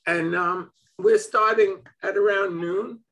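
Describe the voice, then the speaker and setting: A man's voice: high voice, fairly even intonation, takes it slowly, fairly bright tone. A man in his seventies from Brooklyn, chatting casually on a video call from his home office and chuckling often. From Brooklyn, New York. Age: 70s. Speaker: host.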